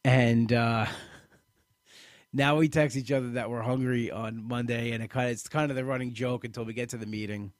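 Halting late-thirties man, dry sound. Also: mid-range voice, steady tone, dry recording. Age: late 30s. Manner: halting, many restarts and repeated words. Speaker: weight-loss program member. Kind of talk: personal testimonial talk.

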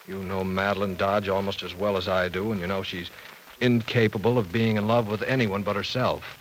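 An actor in a vintage radio drama playing an earnest, awkward professor, polite and formal but hesitant. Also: male voice, low voice, fairly even intonation, brisk, lightly acted. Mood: troubled, exasperated. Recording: noisy old radio show recording.

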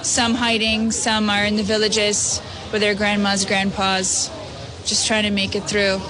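Young woman volunteer over a loud crowd. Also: medium pitch, completely flat delivery, a little slow. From Ukraine. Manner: short, warm, emotional answers ending in "yeah". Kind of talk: street interview answers. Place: outdoors at a busy border crossing.

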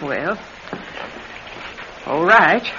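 Little old retired circus lady, folksy n sly, slow talker, radio play w dull muffled sound. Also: fairly low pitch, expressive, bright, forceful tone, heavily acted. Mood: meek, evasive. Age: older. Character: slight old retired circus woman, meek yet sly. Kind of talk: radio drama acting. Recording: noisy, dull old radio drama recording.

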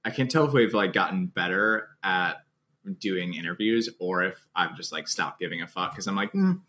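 A man's voice: deep voice, sing-song, moderate pace, bright, forceful tone, slight background noise. A man hosting a podcast in casual chat, joking and self-deprecating. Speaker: podcast host.

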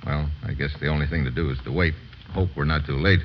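Deep tough american detective, wisecracking, old radio show, muffled sound. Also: male voice, fast talker, slight background noise. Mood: frustrated, urgent. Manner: clipped, slangy, hard-boiled. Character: tough, wisecracking American male investigator, urgent and impatient. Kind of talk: 1940s-50s radio drama acting and first-person narration.

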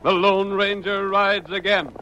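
Grandiose, higher-voiced vintage radio man. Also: little pitch movement, unhurried, music in the background. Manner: grandiose, declamatory. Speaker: radio announcer and narrator. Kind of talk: dramatic scripted 1940s radio narration. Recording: dull, lo-fi old radio recording.

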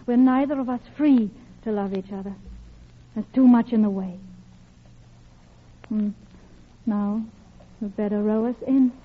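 A woman's voice: on the high side, unhurried, pauses often. An actress playing a guilty, lovelorn married Englishwoman in an old radio drama, with a clipped, genteel English accent and wistful restraint. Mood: pained, firm. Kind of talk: old radio drama acting, first-person narration. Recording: muffled old radio recording, music behind.

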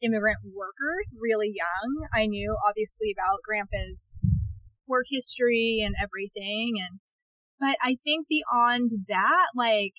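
Guest, woman, 30s, relaxed, lots of short "yep"s, slightly high voice, dry sound. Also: measured pace, no echo, poor audio. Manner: laid-back, frequent short agreements. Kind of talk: casual chat.

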